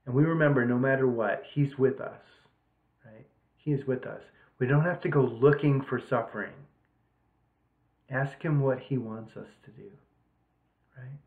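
Middle-aged male priest, informal retreat talk, conversational with rhetorical check-ins; mid pitch, echoey webcam audio. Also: moderate pace, vocal fry, pauses often. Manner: conversational, seeks agreement with short rhetorical checks. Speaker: priest leading an online retreat. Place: small home room, indoors. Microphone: webcam or laptop at desk distance. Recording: roomy echo, narrow thin webcam sound.

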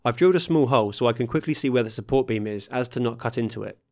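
A man reading a scripted voice-over for his own car restoration video, calmly explaining each workshop step. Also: medium pitch, very fast. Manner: steady, matter-of-fact explaining of workshop steps. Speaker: host of a car restoration channel.

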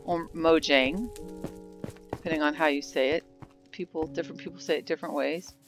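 A woman's voice: low voice, fairly even intonation, moderate pace. Woman rambling through a game tour. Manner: rambling, thinking aloud. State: congested from sinus trouble. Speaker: game server builder. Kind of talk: casual gameplay commentary. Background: background music.

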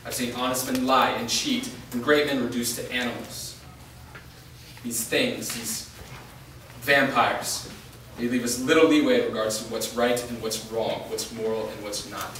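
A man's voice: mid-range voice, little pitch movement, a little slow, a bit bright, close to an everyday voice. Grim, defiant wandering warrior played by a man for a stage drama, distant, heavily echoing room. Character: world-weary, defiant male wandering vampire fighter. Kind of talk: staged play reading. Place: large bare indoor room, staged reading. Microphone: distant camera. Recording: echoey room from far off, faint noise.

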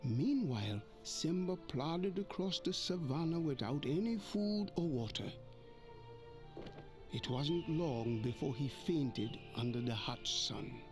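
Storybook narrator, man, unhurried and expressive, lively pitch. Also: soft background music.

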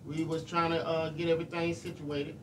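Guy doing a laid-back radio show w shout-outs, kinda far from the mic, lots of noise. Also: a little high, little pitch movement, brisk. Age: middle-aged. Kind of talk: casual live radio talk with shout-outs. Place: small radio studio, indoors. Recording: noisy, distant room microphone.

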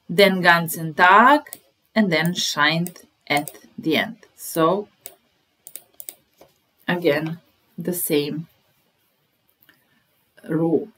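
A woman's voice: fairly low pitch, extremely slow, pauses often, sharp tone, breathy edge, poor audio. A woman in her thirties teaching a language lesson, patiently repeating phrases word by word at a webcam in a small room. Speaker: German teacher. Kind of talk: slow explanatory language lesson.

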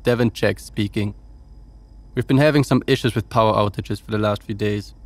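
An actor playing a wry, dutiful officer logging reports on a space station in an audio drama, with dry, teasing delivery. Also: male voice, on the low side, fairly even intonation, normal speed, no echo. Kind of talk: audio drama log monologue and dialogue.

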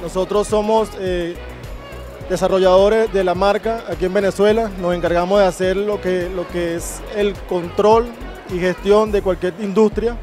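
A company director answers interview questions in a fairly high voice. He pauses and hesitates often as he explains. Faint music plays behind him. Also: steady tone, darkish tone. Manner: hesitant, many fillers.

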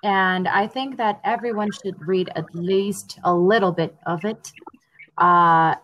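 A young woman from Honduras talking on a headset over a video call, thoughtful and pausing with ums. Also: medium pitch, fairly even intonation, highs cut off, slight background noise. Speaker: language learner. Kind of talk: casual video call conversation. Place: home room.

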